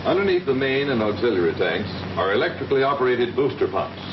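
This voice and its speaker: A man's voice: mid-range voice, lively intonation, quick pace, natural voice. A man playing a confident American Army captain, plainly and methodically instructing pilots in the style of a 1940s training film. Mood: matter-of-fact. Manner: plain-spoken, methodical explaining. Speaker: flight instructor, military captain. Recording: noisy, dull 1940s film soundtrack.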